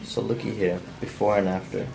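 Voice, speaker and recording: Casting: amateur man as edgy folksy killer, monotone and gravelly, blunt, with faint noise behind. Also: on the low side, brisk, rough recording. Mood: brusque. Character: edgy, cold-blooded man confessing to killing. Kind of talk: amateur cold table read of a script.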